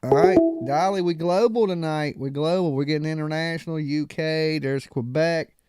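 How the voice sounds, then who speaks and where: A man's voice: fairly high pitch, normal speed, over loud music. A man hosting a live call-in stream, talking loosely and emphatically in casual slang. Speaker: live call-in show host. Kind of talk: casual live-stream talk about crime cases.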